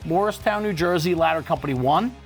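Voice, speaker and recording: A man's voice: on the high side, a little fast. A man presenting as a studio host in a conversational, upbeat and emphatic style. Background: light guitar music.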